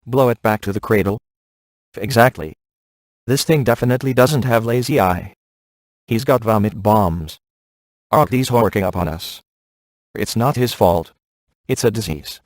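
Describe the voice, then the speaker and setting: A man's voice: lowish voice, measured pace, long pauses, dry recording, lightly acted. A man voicing a cocky, wisecracking, flirtatious middle-aged ghost hunter in a video game, with dry, sarcastic delivery. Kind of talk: video game voice acting, one-liners.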